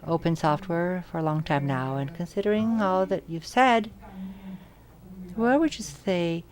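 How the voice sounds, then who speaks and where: A woman interpreting audience questions live at an academic symposium. She speaks in short, halting phrases and often corrects herself mid-sentence. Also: lowish voice. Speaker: simultaneous interpreter.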